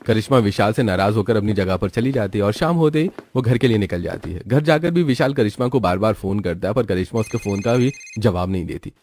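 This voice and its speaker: A man's voice: on the low side, darkish tone, no room sound, studio quality. A man reading scripted story narration as the storyteller.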